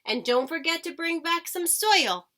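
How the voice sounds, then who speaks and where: A woman's voice: very high-pitched, expressive, unhurried, bright, forceful tone, voice pushed up, full character voice. A woman voicing an astronaut crew member giving emphatic instructions in a children's storybook. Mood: instructing.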